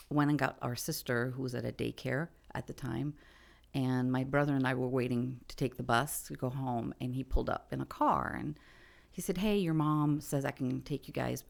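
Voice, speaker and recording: A woman's voice: extremely low pitch, vocal fry. A middle-aged woman from Belgium whose first language is French, telling her life story as a studio guest with many fillers. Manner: relaxed, hesitant with many fillers.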